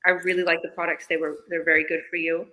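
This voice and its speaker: A woman's voice: lowish voice, little pitch movement, fast talker, bright, forceful tone, poor audio. A woman business coach from British Columbia answering interview questions in a casual, chatty way, explaining eagerly. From British Columbia, Canada. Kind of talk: live online interview answers.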